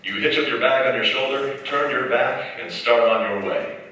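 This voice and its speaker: A man's voice: medium pitch, normal speed, sharp tone, recorded from a distance, close to an everyday voice. A man playing a folksy, knowing narrator who talks to the hero in a 1940s style radio drama. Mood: wry, knowing. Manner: folksy, conversational. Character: folksy inner-voice narrator addressing the hero as you. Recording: echoey stage from afar, faint noise.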